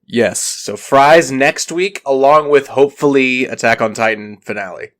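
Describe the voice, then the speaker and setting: A man's voice: medium pitch, moderate pace. Easygoing male podcast host, a bit stuffy. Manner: relaxed joking banter with swearing. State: congested from allergies. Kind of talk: casual chat.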